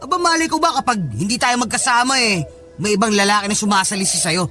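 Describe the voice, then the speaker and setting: A man's voice: high voice, fast talker, lightly acted. A man playing a callous young boyfriend in a Filipino radio drama, curt, harsh and dismissive. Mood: defensive, cold. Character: callous young boyfriend refusing responsibility for pregnancy. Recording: dramatic music under the voice.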